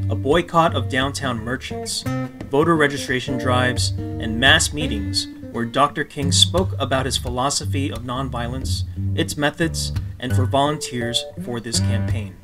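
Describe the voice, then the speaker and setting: A man reading scripted documentary narration in a measured, phrase-by-phrase manner. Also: medium pitch. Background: guitar music.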